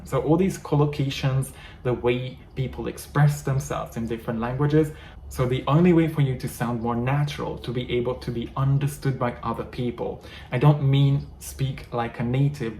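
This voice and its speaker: A man's voice: mid-range voice, gravelly, darkish tone. A man with a Hungarian accent, a native Hungarian speaker, explaining English learning to viewers in an earnest coaching style. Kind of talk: talking-head teaching video. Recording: echoey room, faint background noise.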